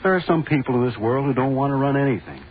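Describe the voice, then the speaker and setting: A man introducing a drama as host in scripted vintage radio style, measured and musing. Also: mid-range voice, expressive. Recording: tinny, noisy vintage radio recording.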